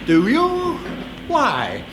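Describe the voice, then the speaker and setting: A vague, flippant naval officer in radio sitcom style. The voice is high for a man and swings playfully with dry mockery. A faint hiss of an old recording sits behind it. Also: wildly swooping pitch, drawn-out words, exaggerated voice. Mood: dryly amused. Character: languid, flippant upper-class British naval officer. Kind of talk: British radio sitcom acting.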